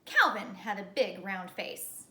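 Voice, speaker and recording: A woman reading a children's story aloud in an expressive, teacherly storytelling way. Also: mid-range voice, a little slow. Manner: expressive storytelling with character dialogue. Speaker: elementary school teacher. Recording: echoey room.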